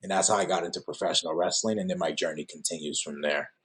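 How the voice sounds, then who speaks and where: A man's voice: low-pitched, steady tone, fast talker, a bit bright. A man from Cameroon who grew up in Oakland, a pro wrestler giving polite, composed interview answers in full sentences. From Cameroon, raised in Oakland, California.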